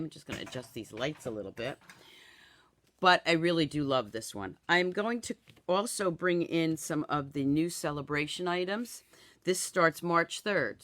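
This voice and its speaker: Raspy woman with a cold. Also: deep voice, fairly bright tone. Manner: chatty, greets viewers by name. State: hoarse from a cold. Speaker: craft product demonstrator. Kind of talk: live-streamed craft demo. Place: indoor home craft room. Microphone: camera in front, then overhead camera.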